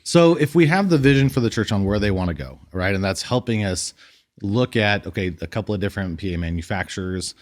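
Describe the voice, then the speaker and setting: A man's voice: fairly low pitch, expressive, fairly quick. A man co-hosting a podcast, chatting casually about technical design, thinking aloud and steering the talk with questions. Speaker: podcast co-host, audio system designer.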